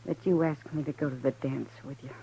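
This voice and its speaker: An actress playing a gentle, warm-hearted saloon keeper woman in a 1950s radio drama, speaking softly and tenderly. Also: sits low, fairly even intonation, fairly quick, vocal fry, slight background noise. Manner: soft, quiet and tender. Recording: dull old radio broadcast.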